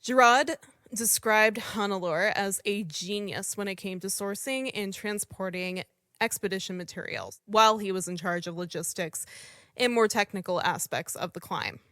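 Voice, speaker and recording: A woman's voice: medium pitch, moderate pace, a bit bright, dry recording. Woman narrating a podcast, dry close mic. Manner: conversational, apologizes for mispronouncing names. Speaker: podcast host. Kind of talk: casual true-story podcast narration.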